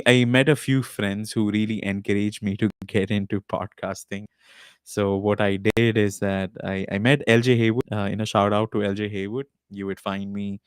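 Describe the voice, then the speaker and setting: A man with a fairly low voice hosts a live podcast stream from a small home setup. He talks in a loose, rambling way, full of "uh"s and restarts. The desk mic sound is dry and close. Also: smooth, no room sound. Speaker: podcast host. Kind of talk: casual live-stream talk. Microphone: desk mic with podcast recorder.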